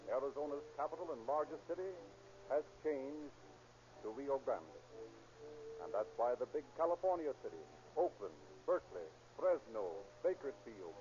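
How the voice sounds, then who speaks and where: A man's voice: on the high side, warm tone, highs cut off. A man announcing and reading ad copy in a formal, emphatic 1930s radio salesman style, on a vintage radio recording. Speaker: narrator and announcer.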